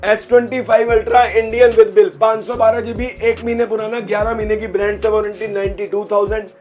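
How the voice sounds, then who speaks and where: A man's voice: sits high, level tone, a little fast, a little mellow, soft background music. A man with a sore throat, selling phones in his shop and rattling off prices in short clipped lists. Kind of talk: sales pitch in a shop. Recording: dull lo-fi sound.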